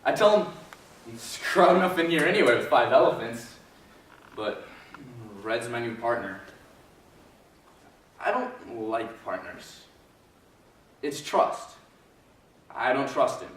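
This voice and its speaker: A man playing a possessive, brooding circus elephant trainer in a stage monologue with dramatic pauses, heard from far off in an echoey space. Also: medium pitch, lively intonation, slight background noise. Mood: bitter, distrustful. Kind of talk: theatrical monologue, readers theater.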